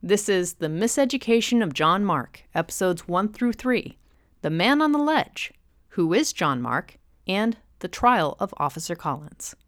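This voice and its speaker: A woman's voice: medium pitch, big pitch swings, a little slow, no echo, studio quality. A woman hosting a podcast, reading a scripted introduction. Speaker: podcast host.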